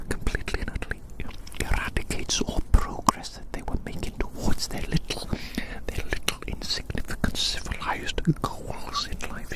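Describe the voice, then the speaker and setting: An ASMR performer delivering a roleplay monologue in a breathy, unvoiced whisper, musing deliberately and repeating phrases. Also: low-quality recording.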